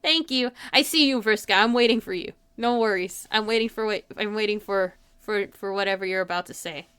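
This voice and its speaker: A woman's voice: medium pitch, brisk, fairly bright tone, clear, resonant tone, dry recording. A woman livestream host chatting casually with her viewers in an informal, giggly way, saying "like" often. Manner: giggly, informal, frequent filler "like".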